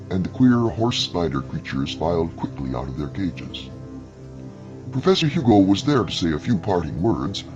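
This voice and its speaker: A man tells a story as a dramatic narrator. His voice sits fairly low and moves through wide swings of pitch, with music behind it. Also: lo-fi sound.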